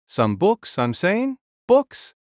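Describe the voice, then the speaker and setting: A man's voice: wildly swooping pitch, slow, no room sound, studio quality, full character voice. An actor playing a huge warrior king spirit in an audio drama, bold, imperious and unflustered. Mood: impatient, commanding. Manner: imperious, booming, declarative.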